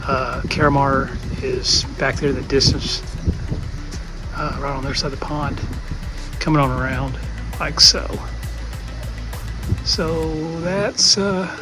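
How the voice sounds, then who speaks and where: A man with an even, unanimated mid-pitched voice gives a casual hobbyist walkthrough. He is laid-back and plain-spoken, and music plays behind him. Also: slow pace, long pauses, poor audio. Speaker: amateur radio hobbyist on holiday. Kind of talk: casual narration while showing his gear.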